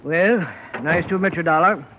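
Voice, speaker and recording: An American actor playing a grave, businesslike doctor in an old radio drama, clinical and formal. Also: male voice, a little high, moderate pace, narrow, tinny audio, natural voice. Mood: apologetic. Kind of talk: 1950s radio drama acting. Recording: noisy, band-limited vintage radio broadcast.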